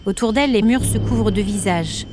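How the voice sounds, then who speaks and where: Woman podcast narrator, scripted drama, suspenseful, weighted short phrases, medium pitch, clear music bed. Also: fairly quick.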